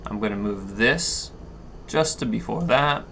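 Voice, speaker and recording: A man giving an unscripted software walkthrough, thinking aloud and haltingly explaining each step as he goes. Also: on the low side, creaky. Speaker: tutorial instructor. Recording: noticeable background noise.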